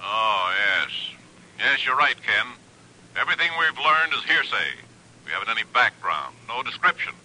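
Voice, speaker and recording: An actor playing an emphatic intelligence chief in a 1950s radio drama. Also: male voice, mid-range voice, sing-song, moderate pace, pushed and bright, exaggerated voice, low-quality recording. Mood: brisk, puzzled. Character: emphatic male intelligence chief, briefing his agent.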